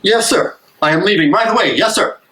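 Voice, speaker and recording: A man's voice: high-pitched, a little fast, pushed and bright. Male actor as disillusioned German soldier, anguished, sarcastic, stage play reading, reverberant room. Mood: obedient, tense. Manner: emotional, sarcastic, despairing. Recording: echoey room, live reading.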